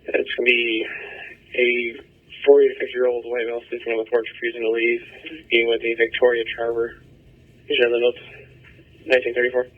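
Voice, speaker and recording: A man's voice: medium pitch, monotone, brisk, long pauses. A man giving terse procedural traffic as a police radio operator, heard over a police radio. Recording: narrow, tinny police scanner feed.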